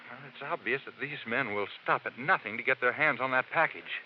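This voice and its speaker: A man playing an earnest, anxious shipping man in a 1950s radio drama, explaining himself haltingly. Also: mid-range voice, lightly acted. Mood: anxious, rueful. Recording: muffled, noisy vintage radio recording.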